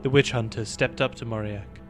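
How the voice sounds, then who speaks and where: A man's voice: mid-range voice, steady tone, brisk, faint music behind. A male narrator reads a scripted fantasy tale. His voice sits in a medium register. The delivery is that of an audio drama storyteller.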